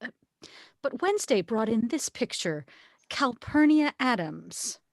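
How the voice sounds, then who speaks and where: Woman playing a lively, prim schoolteacher. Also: a little high, unhurried, no echo. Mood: polite concern. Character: prim, concerned elementary schoolteacher. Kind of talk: table read of a comedy film script.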